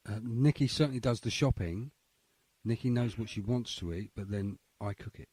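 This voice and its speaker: A man's voice: fairly low pitch, normal speed, mellow, breathy edge, no room sound. A man co-hosting a radio interview, speaking in casual chat. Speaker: co-host.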